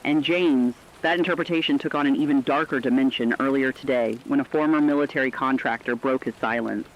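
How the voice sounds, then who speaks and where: A man playing a grave radio co-anchor, reading a scripted report in a formal, ominous, deliberate way. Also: mid-range voice, natural voice. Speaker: news co-anchor. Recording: dull lo-fi broadcast with faint rain noise.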